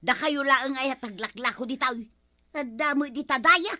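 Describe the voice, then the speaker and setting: Woman acting a grumbling Ilocano village housewife, bright voice. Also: sits high, normal speed, no room sound, clean studio sound. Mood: indignant. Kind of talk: Ilocano comedy radio drama acting.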